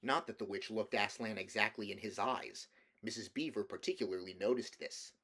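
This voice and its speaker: A man's voice: on the low side, moderate pace, fairly bright tone. A man reading a children's fantasy novel aloud as scripted storybook narration. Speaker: amateur audiobook reader.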